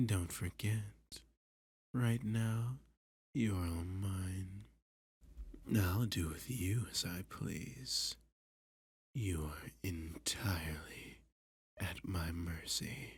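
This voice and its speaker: A man playing a dominant, flirty villain who taunts a hostage in an intimate ASMR roleplay, often dropping to a breathy, teasing whisper. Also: low voice, dry recording, exaggerated voice. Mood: threatening, dominant. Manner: slow, teasing, sadistic, possessive.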